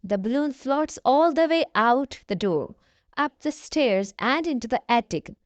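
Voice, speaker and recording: Woman, high voice, very animated intonation, expressive children's storybook narration, dry close sound. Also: unhurried, dry recording. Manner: expressive, addressing children directly. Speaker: children's story channel host and reader. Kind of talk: read-aloud storybook narration for young children.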